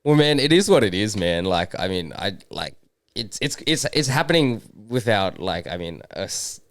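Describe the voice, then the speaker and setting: A young Australian man chatting casually as a guest, relaxed, full of fillers and "man". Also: on the low side, moderate pace, no room sound, studio quality. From Brisbane, Australia. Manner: laid-back, lots of fillers, says "man" often. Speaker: market co-owner, guest. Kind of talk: casual interview chat.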